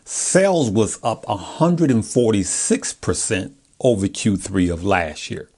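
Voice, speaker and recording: A man's voice: lowish voice, very animated, a little slow, a little mellow. An American man talking casually and informally to his viewers as a stock video host, in a chatty, colloquial way. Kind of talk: casual chat, giving stock commentary from notes.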